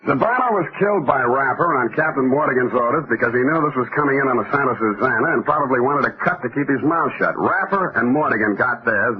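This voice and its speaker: An actor playing a wry, cynical American private detective in a deadpan 1940s radio style. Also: male voice, medium pitch, slightly rough. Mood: sardonic, accusing. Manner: sardonic, deadpan. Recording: thin, muffled vintage radio recording.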